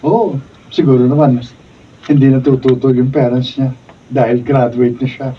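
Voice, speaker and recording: Heard through a muffled, noisy recording, a man acts an earnest young Filipino suitor in a radio drama. His mid-pitched voice is sincere and devoted, coming from a little way off the microphone. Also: steady tone, moderate pace, far from the mic, close to an everyday voice. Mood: resolute. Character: devoted, earnest young boyfriend planning marriage.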